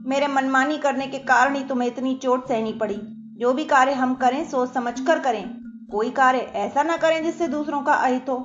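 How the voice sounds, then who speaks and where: Woman as a high-pitched mischievous little animal. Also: normal speed, natural voice. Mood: remorseful. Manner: eager, exclaiming, pleading. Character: small mischievous impulsive male animal friend, excitable, pleading. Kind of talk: children's storybook character voice. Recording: echoey dull recording under loud music.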